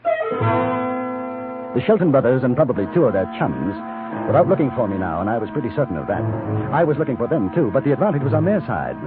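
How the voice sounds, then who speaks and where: Guy playing a courteous english gent out west, dry and formal, lively delivery, dull old radio sound. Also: mid-range voice, fairly quick, pauses often, over loud music, close to an everyday voice. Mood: measured narration. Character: composed, courteous English gentleman adventurer in frontier West. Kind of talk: 1950s radio western acting and narration. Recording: dull vintage 1950s radio recording.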